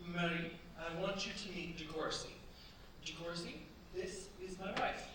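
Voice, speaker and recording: A man's voice: sits high, fairly even intonation, normal speed, bright, forceful tone. A man acting a frail, melodramatic, lovesick French bridegroom in a staged radio play, florid and flustered. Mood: eager. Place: theatre stage at a cultural centre. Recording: very echoey stage, distant mic, faint noise.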